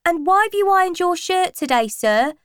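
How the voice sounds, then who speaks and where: Woman putting on cheeky high-pitched teenage pupils. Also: lively intonation, moderate pace, no room sound, clean studio sound. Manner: insolent, pert. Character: cheeky teenage schoolchildren heckling in class.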